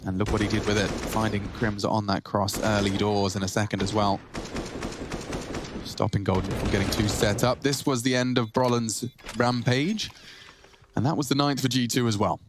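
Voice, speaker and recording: British man, esports commentator, lowish voice, faint hiss behind. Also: steady tone, normal speed.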